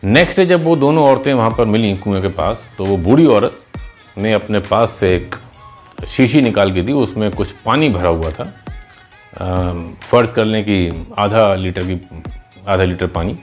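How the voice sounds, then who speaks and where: A man with a fairly low voice tells a moral tale the way a teacher would. He explains conversationally and drops in English words. Faint music plays underneath. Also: measured pace. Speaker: teacher and storyteller. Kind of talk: conversational moral storytelling with advice.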